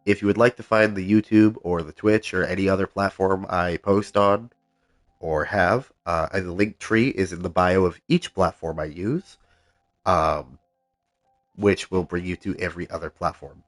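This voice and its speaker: A man's voice: sits low, fairly even intonation, normal speed, no echo, studio quality, faint music behind. A man streaming a video game live, talking casually with a stuffed-up cold voice and lots of ums as he reads out the game's menus. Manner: soft-spoken, many ums, reads game text aloud.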